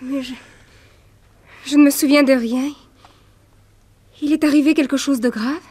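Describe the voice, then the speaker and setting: A woman's voice: high-pitched, steady tone, unhurried, dark tone. An actress playing a young woman whose father has just been killed, in an emotional, breathless manner, in an old radio drama. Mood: shocked. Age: young adult.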